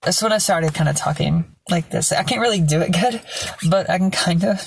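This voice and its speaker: A hoarse young man telling a personal story to his own camera at home, rambling and swearing freely. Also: sits high, fairly quick, slight background noise. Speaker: vlogger. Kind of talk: casual storytelling vlog. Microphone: self-filmed camera.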